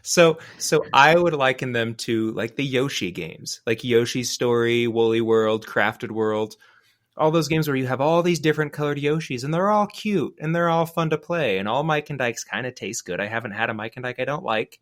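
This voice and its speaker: Man with a mid-pitched voice chatting. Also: moderate pace. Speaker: podcast panelist. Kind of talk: casual chat.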